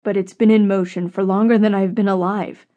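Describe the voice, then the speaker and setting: A woman's voice: medium pitch, level tone, brisk, mellow, muffled recording, natural voice. A woman narrator voicing a weary, wry, long-lived man in an audiobook story. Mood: dark.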